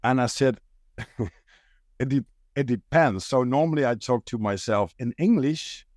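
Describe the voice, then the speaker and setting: A man with a Dutch accent chats casually as host, stopping often to search for words. His pitch sits in a middling range, and the recording is close and dry. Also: darkish tone, no echo. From Netherlands. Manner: halting, many restarts and fillers, searches for words. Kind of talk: casual conversation.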